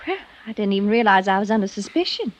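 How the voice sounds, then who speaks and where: A woman's voice: mid-range voice, expressive, fast talker, lo-fi sound, lightly acted. An American woman playing a cold, scheming young female murder suspect in a 1950s radio melodrama. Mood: guarded, innocent. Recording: noisy vintage radio recording with clicks.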